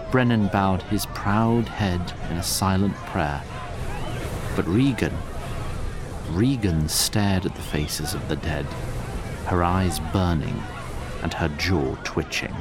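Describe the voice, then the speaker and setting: A man narrating a scripted fantasy tale in a theatrical, deliberate and wry manner. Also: low voice, a crowd in the background, noisy background.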